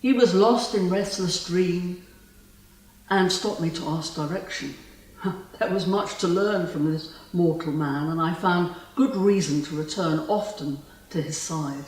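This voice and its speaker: A woman's voice: lowish voice, a little mellow, natural voice. A woman playing a spurned immortal fae, possessive and vengeful, in a theatrical, lyrical stage monologue. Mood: wistful, enchanted. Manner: theatrical, lyrical, deliberate delivery. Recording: distant mic in a very echoey room.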